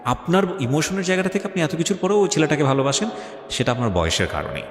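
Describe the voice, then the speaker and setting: A Bangladeshi man hosts a radio show, talking fast and pressing his points insistently as he advises a guest. He mixes in English phrases. His voice rings in a reverberant hall with soft music behind it. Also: fairly high pitch, sing-song. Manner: emphatic, insistent, argumentative, code-switches into English. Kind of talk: talk show interview, advising. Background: faint background music.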